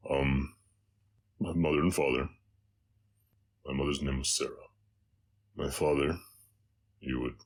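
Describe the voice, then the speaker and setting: A man playing a gentle, haunted orc cowboy in an intimate audio roleplay, speaking hesitantly with a slight Southern American accent and a very deep voice. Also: slightly rough, full character voice. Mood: hesitant, somber. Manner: halting, hesitant, reflective.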